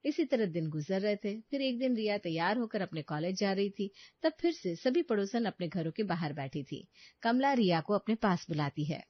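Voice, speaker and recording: A woman's voice: medium pitch, expressive, moderate pace, no room sound, muffled recording. A woman narrating a scripted story as its storyteller.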